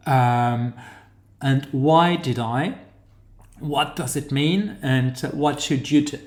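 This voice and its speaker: A man with a New Zealand accent giving a tutorial lecture while sharing his screen, pausing often to find his words as he explains. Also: mid-range voice, darkish tone, echoey room. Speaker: academic lecturer.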